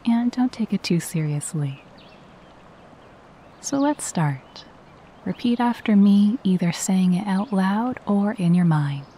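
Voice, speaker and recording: A woman guiding a meditation in a soft, breathy voice, with a gentle, soothing and unhurried delivery. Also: mid-range voice, expressive, a little hiss or hum. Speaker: meditation guide. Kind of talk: guided meditation with spoken affirmations.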